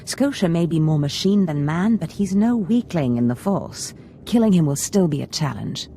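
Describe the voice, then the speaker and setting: An actress playing a scheming, ambitious Sith lord mentor in a video game, theatrical and conspiratorial. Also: female voice, fairly low pitch, lively intonation, moderate pace, mellow, a little hiss or hum.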